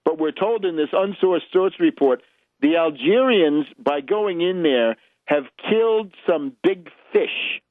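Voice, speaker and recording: A man's voice: on the high side, expressive, a little slow, dry recording. Man on narrowband radio, emphatic political commentary. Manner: emphatic, rhetorical questions, mocking when quoting. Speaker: radio host. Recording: narrowband, muffled radio broadcast sound.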